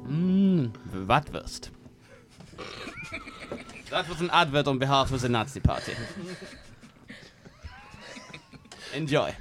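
A man's voice: mid-range voice, expressive, measured pace, over music, lightly acted. A man voicing an eager, self-righteous Nazi henchman in an improvised comedy sketch. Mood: smug.

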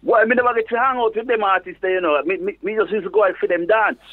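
A man from England with a Jamaican patois accent mixed with London speech, a reggae DJ chatting as an interview guest in a relaxed, halting way. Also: high voice. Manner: relaxed, halting, restarts phrases. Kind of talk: casual interview chat. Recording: phone-like narrow sound.

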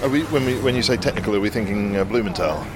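Low-voiced male interviewer, loud traffic. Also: fast talker. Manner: curious, asks short follow-up questions. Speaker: podcast interviewer. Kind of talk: casual walking interview. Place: outdoors on a city street. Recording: outdoor street recording with loud traffic. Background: loud traffic noise.

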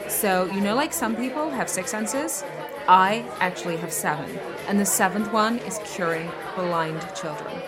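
A woman's voice: mid-range voice, moderate pace, close to an everyday voice. Woman as a smug overachiever over music and crowd. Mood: gushing, showing off. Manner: gushing, condescending. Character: smug, saintly overachieving American girlfriend with a bitchy streak. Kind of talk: comedy radio play.

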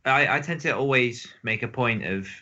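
A man's voice: mid-range voice, normal speed, bright, forceful tone. A man speaking casually as a podcast co-host, with a slightly lo-fi sound to his voice. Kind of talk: casual chat.

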